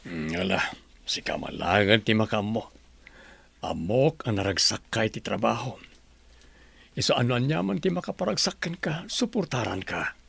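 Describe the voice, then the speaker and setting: A man with a Filipino accent playing a gentle, earnest middle-aged husband and father in a sentimental audio drama, with a gravelly voice. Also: fairly low pitch, animated, moderate pace, dry recording, full character voice. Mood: concerned, supportive.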